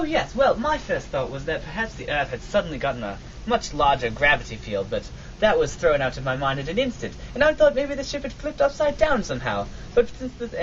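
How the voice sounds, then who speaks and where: Man acting a lively, high-pitched bookish gentleman. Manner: rambling, earnest theorizing. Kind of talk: comic old-time radio acting. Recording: muffled recording with hiss.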